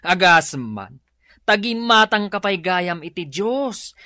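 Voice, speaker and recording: A woman's voice: fairly low pitch, animated, slow, sharp tone, no room sound, studio quality, heavily acted. A woman playing a tipsy, mocking village drinker in an Ilocano-accented radio comedy, drawling and teasing. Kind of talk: comedic radio drama acting.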